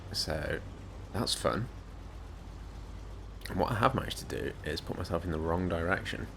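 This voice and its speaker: A man with a slightly croaky voice, giving rambling, self-mocking gameplay commentary. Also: low-pitched, a little hiss or hum. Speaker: gaming YouTuber.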